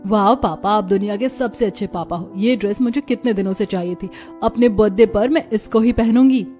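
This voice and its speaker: A woman's voice: medium pitch, a little fast, darkish tone, soft background music, natural voice. A woman voicing a snobbish, spoiled young college girl in a cartoon story, with a haughty manner. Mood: delighted. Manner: haughty, disdainful. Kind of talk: Hindi cartoon moral story acting.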